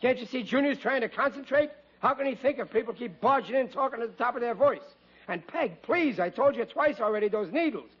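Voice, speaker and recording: A muffled vintage radio comedy carries a middle-aged dad, high-pitched and husky. He blusters in plain working-class speech, stubborn, dim and well-meaning. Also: sing-song, normal speed, few pauses, exaggerated voice. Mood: exasperated. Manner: exasperated, stammering, colloquial working-class bluster. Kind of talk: old-time radio sitcom acting.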